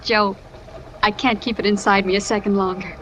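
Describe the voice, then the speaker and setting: An American actress playing an excited, teasing young trapeze sweetheart, gushing and slangy, in 1940s radio drama style. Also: female voice, medium pitch, normal speed, lightly acted. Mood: eager confiding. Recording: dull, noisy old radio recording.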